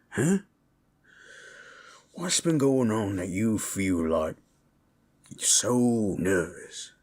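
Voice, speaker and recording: A man voicing a big, gentle, chatty orc in a soothing roleplay audio, with a folksy, rambling, rustic way of talking. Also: fairly low pitch, husky, full character voice. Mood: soothing, attentive. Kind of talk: soothing character roleplay audio addressed to listener.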